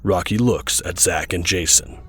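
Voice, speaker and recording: Low-voiced man narrating over music. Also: a little slow. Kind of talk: scripted audio drama narration.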